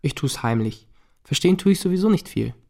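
A man's voice: on the high side, expressive, brisk, gravelly, mellow. A young male actor playing a guarded, curious teenage boy in a radio play, talking plainly and directly. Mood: evasive.

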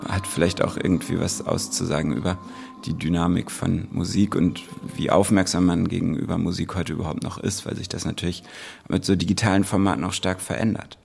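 A man's voice: low voice, steady tone, a little fast, creaky, a little mellow. A man speaking reflectively in an interview, in long, loosely strung thoughts. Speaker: interviewee, musician. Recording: music under the voice. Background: music.

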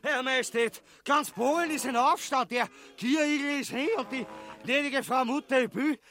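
An actor playing an excitable soldier reporting to his king in a farcical radio drama, with theatrical, declamatory delivery. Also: extremely high pitch, normal speed, soft background music. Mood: alarmed.

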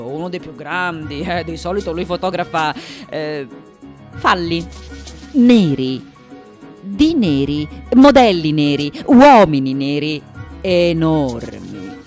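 An elderly, eccentric woman artist delivers a radio play monologue. She is intense, wry and haunted, with a dramatic delivery that turns breathy when hushed. Music plays behind her. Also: fairly low pitch. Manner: intense, dramatic, shifting between whispers and emphatic declamation. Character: aged female sculptor, haunted, obsessive, wry and eccentric.

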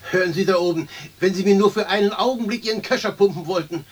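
Husky, grumbling common man. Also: sits high, fast talker. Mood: yearning. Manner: coarse, grumbling, plain-spoken. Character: hungry, grumbling, earthy common man stuck on a branch. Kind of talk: German radio play acting.

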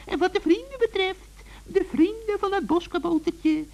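A woman playing a gleeful, malicious old witch in a children's radio play, with an ugly cackling voice and drawn-out gloating. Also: extremely high pitch, darkish tone. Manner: giggling, drawn-out, theatrical gloating.

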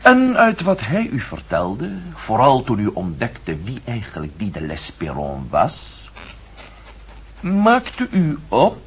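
A man's voice: medium pitch, wildly swooping pitch, slow, a bit bright, a little hiss or hum, full character voice. An actor playing a mocking, self-assured nobleman in a period radio drama, speaking with ornate, icy politeness. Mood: accusing, controlled.

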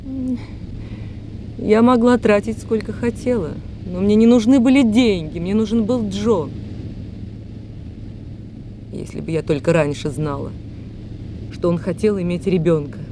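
An actress playing a proud, weary, wealthy widow in a radio play, languid and dramatic in manner. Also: female voice, mid-range voice, measured pace, pauses often, noisy background, natural voice. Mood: wounded, bitter. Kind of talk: Russian radio play acting.

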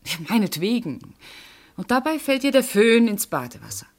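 A woman's voice: a little high, sing-song, moderate pace. An actress playing a composed, guarded, self-assured woman under questioning in a German radio play, formal, evasive and insistent.

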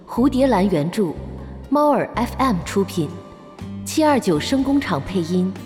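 A woman's voice: mid-range voice, big pitch swings, measured pace, a little mellow. A woman announcer reading scripted credits for an audio drama. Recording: long reverb over music.

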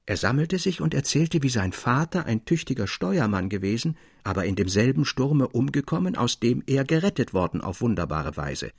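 A man reading a literary story as narrator, articulate and expressive in scripted audiobook style. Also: mid-range voice, moderate pace, gravelly, hardly any pauses, husky, no room sound, studio quality.

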